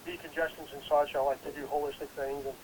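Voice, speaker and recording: A man's voice: on the high side, little pitch movement, fast, a little mellow, slight background noise, poor audio. A congested man recovering from a head cold, talking casually and rambling with many restarts. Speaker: guest investigator. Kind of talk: casual interview.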